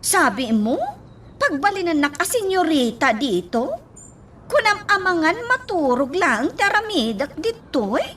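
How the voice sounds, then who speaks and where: A woman's voice: very high voice, big pitch swings, a little slow, a bit bright, heavily acted. Woman as spoiled demanding wife, radio drama, whiny, swooping intonation, slightly distant with faint noise. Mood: whiny, bossy. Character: bossy, lazy, demanding young wife who wants pampering. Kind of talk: Filipino radio drama acting.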